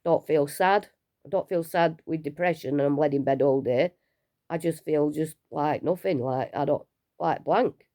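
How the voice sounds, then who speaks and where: A woman's voice: low-pitched, normal speed, mellow. Tired chatty woman. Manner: chatty, rambling, self-deprecating with small laughs. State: unwell, low energy. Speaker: vlogger sharing her cancer treatment. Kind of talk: casual personal vlog. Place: at home.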